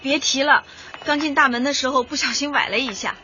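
An actress in a radio drama playing an eager young community officer, expressive and outspoken. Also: female voice, high voice, fairly quick, highs cut off, noisy background, natural voice. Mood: friendly. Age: young adult.

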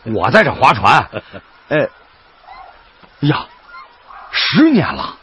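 A man's voice: mid-range voice, huge pitch swings, takes it slowly. An actor playing an earnest, good-hearted middle-aged boatman with a folksy, chatty manner in a radio drama. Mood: jovial. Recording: muffled lo-fi broadcast with music behind.

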